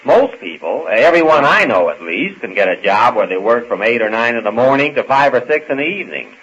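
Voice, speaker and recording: An actor playing a sardonic, put-upon husband in an old-time radio comedy serial, grumbling dryly. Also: male voice, mid-range voice, big pitch swings, fairly quick, fairly bright tone, breathy edge. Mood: exasperated. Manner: dry, sarcastic grumbling. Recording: muffled, faintly noisy old radio recording.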